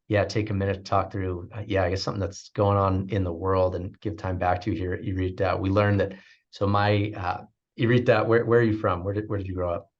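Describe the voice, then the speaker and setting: A deep-voiced man hosts a business webinar, speaking sincerely with lots of pauses and restarts. The audio is slightly dull, as if from a video call. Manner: hesitant, many fillers and restarts, sincere. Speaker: webinar host. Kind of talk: webinar talk.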